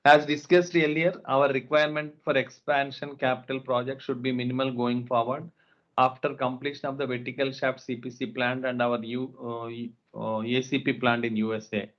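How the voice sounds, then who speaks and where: A man's voice: medium pitch, flat delivery, moderate pace. Man presenting formally on a dull conference call. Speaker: company finance executive presenting results. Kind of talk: scripted financial presentation on an earnings call. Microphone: conference call line.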